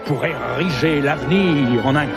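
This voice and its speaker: A man voicing a scheming, haughty lion king in an animated film, pompous and theatrical. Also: on the high side, a little slow, vocal fry. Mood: mock grief. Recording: music behind the voice.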